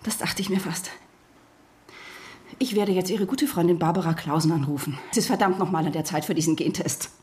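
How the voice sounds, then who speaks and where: Schauspielerin als verzweifelte, misstrauische Ehefrau, Geräuschkulisse im Hintergrund. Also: female voice, on the low side, brisk, darkish tone, a little hiss or hum, natural voice. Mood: cold, suspicious. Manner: intense, bitter. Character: distraught, suspicious wife of a missing man, sharp-tongued. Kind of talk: radio drama acting with inner narration.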